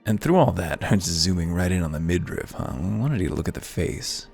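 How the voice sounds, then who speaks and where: A man's voice: low-pitched, lively intonation, fairly quick, vocal fry, a little mellow. A man giving wry, musing, articulate commentary as the host of a video game playthrough, moving between casual asides and reading aloud. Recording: music playing behind the voice. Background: music.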